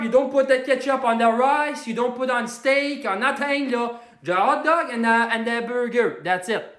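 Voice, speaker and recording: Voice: medium pitch, a bit bright, close to an everyday voice. A performer doing a hot-headed working-class man from Quebec who rants and swears in broken, French-laced English with a thick Québécois French accent. He answers livestream comments like the self-styled Quebec Hulk, always angry. The voice sits mid-height and rings in an echoey room. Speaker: character comedian on a livestream. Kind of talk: ranting comedy livestream, answering viewer comments.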